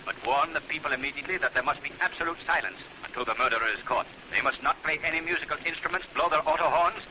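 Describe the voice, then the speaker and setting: An actor playing a commanding, mysterious crime fighter in an old radio drama, speaking in a clipped, knowing way. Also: male voice, a little high, very fast, lightly acted. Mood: urgent, commanding. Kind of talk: 1940s radio acting. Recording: muffled noisy old radio recording.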